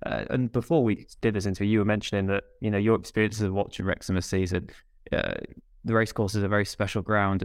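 A man's voice: lowish voice, no room sound, clean studio sound. A man hosting a podcast, asking long, rambling conversational questions. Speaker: podcast host. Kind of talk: casual podcast interview.

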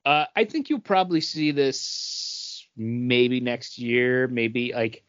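A man's voice: medium pitch, slow pace, no room sound, muffled recording. A man in his forties chatting casually as a podcast co-host, rambling along and often repeating his phrases. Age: 40s. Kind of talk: casual chat, beer review.

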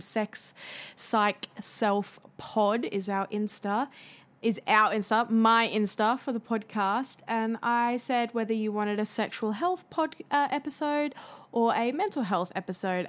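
A woman hosting her own podcast in casual solo chat, her voice a little raspy from singing, talking in a chatty, confiding way with fillers. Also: a little high. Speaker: podcast host.

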